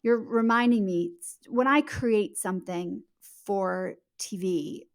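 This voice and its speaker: A woman speaking as an interviewed author in a conversational podcast style, explaining her points emphatically in measured phrases. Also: mid-range voice.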